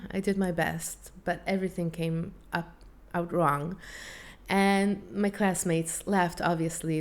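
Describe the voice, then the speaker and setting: A woman with a Hebrew accent, a language teacher and vocal coach, speaking English as a second language in an earnest, reflective way, with small slips. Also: on the low side. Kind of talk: personal talk to camera, one take.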